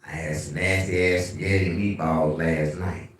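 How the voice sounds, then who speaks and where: A man with a deep bass voice hosting a casual livestream, telling animated, profane stories. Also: moderate pace, distant mic. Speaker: livestream host. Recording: very echoey room, away from mic.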